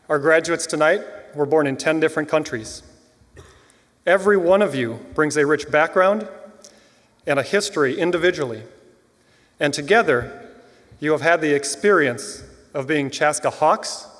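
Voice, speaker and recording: A man speaking formally and in a measured way as the school official leading a graduation ceremony. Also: on the high side, fairly bright tone. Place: large church auditorium with long reverb. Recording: echoey large hall PA.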